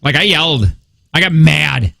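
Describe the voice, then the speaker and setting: A man's voice: on the high side, lively intonation, moderate pace, vocal fry, sharp tone, no echo, faint music behind. Male radio host, 30s, rambling and self-doubting, dry studio sound. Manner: rambling, self-reproachful, many restarts. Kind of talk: casual morning radio chat. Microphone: studio mic.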